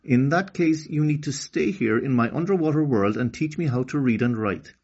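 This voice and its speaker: A man's voice: mid-range voice, animated, normal speed, a little mellow, slightly rough, highs cut off, pitched above the performer's own voice. A man voicing a haughty, commanding crocodile queen in a children's storybook read-aloud. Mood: bargaining. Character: imperious crocodile queen ruling an underwater world.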